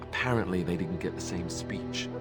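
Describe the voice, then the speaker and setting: An actor playing a young, wry, self-deprecating junior knight, chatting in a fantasy game. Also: male voice, sits low, moderate pace, over loud music, close to an everyday voice. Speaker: junior warrior guiding new recruits. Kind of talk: conversational fantasy game dialogue.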